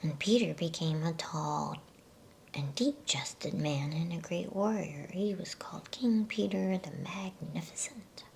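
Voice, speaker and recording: A woman reading a storybook in a soft, breathy ASMR whisper, with a soothing, unhurried manner and casual delivery. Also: fairly low pitch, a little hiss or hum. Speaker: ASMR bedtime story reader.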